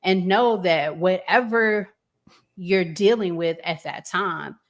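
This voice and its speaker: A woman with a slightly low voice talks at a very unhurried pace. She sounds like a podcast host in a reflective conversation, earnest and given to repeating phrases for emphasis. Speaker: relationship podcast host asking questions.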